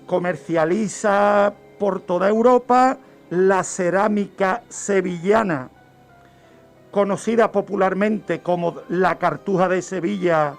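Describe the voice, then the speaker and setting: A man with a high-pitched voice tells history on the radio. He takes his time, explaining like a teacher and posing questions that he then answers. Faint music plays behind him. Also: creaky. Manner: didactic, asks and answers own questions. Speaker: guest criminologist telling crime history. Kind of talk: radio storytelling of historical events. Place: radio studio. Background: background music.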